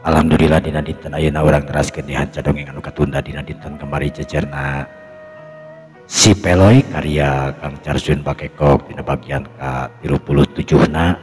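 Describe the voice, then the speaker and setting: A man's voice: very deep voice, flat delivery, normal speed, darkish tone. A man, hosting and telling a traditional folk story to his listeners. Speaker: storyteller and channel host. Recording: long echoing reverb, music behind. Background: music.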